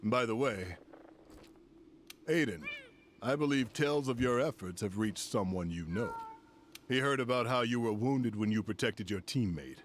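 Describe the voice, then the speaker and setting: An actor playing a dignified, courteous senior commander in a video game, speaking formally and with measure. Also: male voice, mid-range voice, slight background noise, natural voice. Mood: gracious, calm. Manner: formal, measured, stately praise. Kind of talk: video game cutscene acting.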